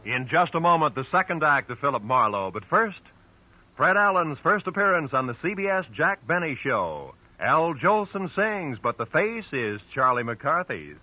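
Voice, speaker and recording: A man's voice: fairly high pitch, sing-song, moderate pace, pushed and bright, rough recording. An American man announcing in punchy, polished 1940s network radio promotional style.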